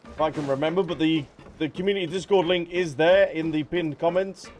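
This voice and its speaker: A man's voice: fairly high pitch, steady tone, brisk, darkish tone. A man livestreaming a game, chatting casually and playfully as he reads and answers viewers. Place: behind: game music.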